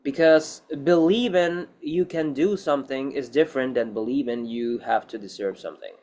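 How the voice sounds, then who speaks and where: A man's voice: medium pitch, normal speed, a little mellow. A man speaking non-native English in a casual interview as an actor, rambling and repeating himself as he gives emphatic advice.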